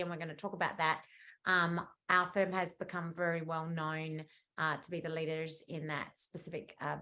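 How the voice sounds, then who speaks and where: A woman in her forties from Australia with an Australian accent, giving a chatty webinar presentation over a video call with lots of fillers and quick restarts. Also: fairly low pitch, a bit bright. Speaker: immigration lawyer presenting at a webinar. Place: indoor room at home or office.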